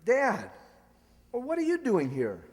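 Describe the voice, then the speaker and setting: Man playing an eager young suitor, very animated. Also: extremely high pitch, measured pace, husky, heavily acted. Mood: flustered. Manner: hesitant, earnest. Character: eager, flustered young suitor, rich man's son. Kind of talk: stage-style radio comedy acting. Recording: reverberant hall stage recording.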